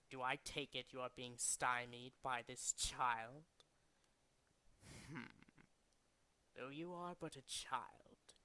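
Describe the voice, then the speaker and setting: A man's voice: mid-range voice, bright, forceful tone, rough recording. A man voicing a condescending, cultured and fanatical villain leader from a video game, speaking in a formal, theatrical way. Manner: formal, pompous, theatrical, deliberate.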